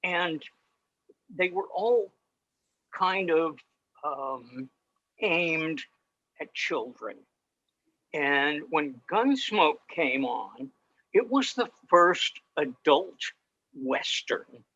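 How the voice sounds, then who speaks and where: Male presenter, slow and halting, husky edge, informal talk over lo-fi video call. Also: on the high side, expressive, bright, forceful tone. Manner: halting, with long pauses. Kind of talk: informal talk on old radio shows. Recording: dull, lo-fi video call audio.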